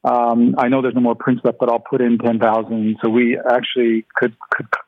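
Man, sixties, Ukrainian first language, halting interview answers, phone-line sound, dry. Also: mid-range voice, completely flat delivery, dry recording. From Canada. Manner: hesitant, many restarts and fillers. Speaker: guest artist.

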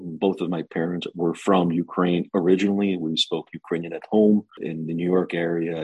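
An American man answering interview questions about his career, speaking in a measured way with frequent fillers and restarts. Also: sits low, a little mellow, slightly rough, no echo, poor audio. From United States. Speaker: guest, lawyer.

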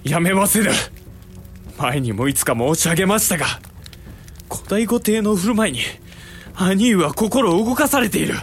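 An actor playing the earnest, outspoken younger brother of a samurai lord, in formal, polite archaic speech with music behind, in a period audio drama. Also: male voice, high-pitched, lively intonation, normal speed, pauses often. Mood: urgent, pleading.

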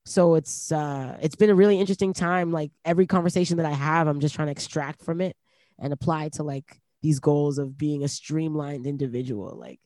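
A woman's voice: low voice, steady tone, brisk, dark tone, dry recording. Woman, late twenties, from Canada, interview guest, reflective, filler-heavy, dry close sound. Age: late 20s. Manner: reflective, lots of "like" fillers, laughs easily. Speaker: rapper guest. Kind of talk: casual interview chat.

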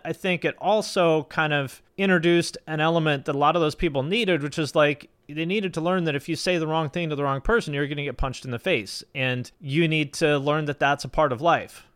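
A man's voice: fairly high pitch, fairly bright tone, clear, resonant tone. A man speaking as a podcast host in a casual, conversational way, peppered with "like" and "you know". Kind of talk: casual interview chat and sponsor read.

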